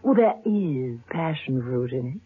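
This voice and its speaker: A woman's voice: a bass voice, lively intonation, unhurried, husky, heavily acted. An actress playing a flirtatious, teasing woman in a 1970s radio play. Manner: teasing and seductive. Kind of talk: 1970s radio drama acting. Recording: tinny lo-fi old radio broadcast.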